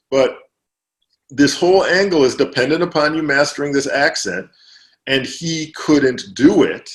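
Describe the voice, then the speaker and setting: A man being interviewed as an author, explaining at length in relaxed conversation, with fillers and restarts. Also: medium pitch.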